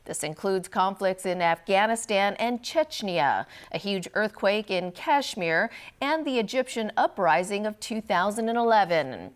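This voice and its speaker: A woman reading the news as anchor, in a measured, formal delivery. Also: mid-range voice, lively intonation, clean tone.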